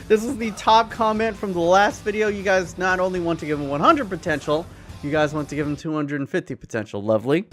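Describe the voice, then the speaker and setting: Hyper jokey guy doing game commentary, voice bouncing up n down, faint music behind. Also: sits high. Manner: excitable, jokey, self-mocking. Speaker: gaming video host. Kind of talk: casual gaming commentary.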